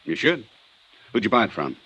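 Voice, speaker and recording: A brisk American desk sergeant in a fifties radio serial, with a very varied pitch. He is terse and businesslike, on an old recording with light noise. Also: sing-song, highs cut off. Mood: brisk, questioning. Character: brisk, matter-of-fact male police sergeant at gun registry. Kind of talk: 1950s radio drama acting.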